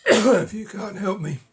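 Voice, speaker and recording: A middle-aged man with a cold, speaking hoarsely and haltingly in a casual show-and-tell of his books, often dropping to a whisper. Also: high-pitched, expressive. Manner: halting, many ums and uhs, drops to a whisper. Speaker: book collector vlogger.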